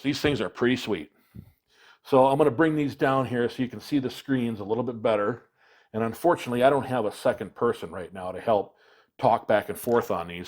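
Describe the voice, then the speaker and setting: A man presents a hands-on product demonstration in a casual way. His voice sits at an average pitch, and he explains each step in plain, practical words. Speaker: product reviewer, video presenter.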